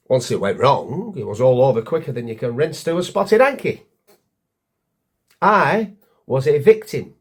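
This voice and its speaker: Guy playing a husky washed-up pantomime mayor, wry and sorry for himself, voice goes up and down a lot. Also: on the high side, darkish tone, heavily acted. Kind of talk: comic dramatic monologue.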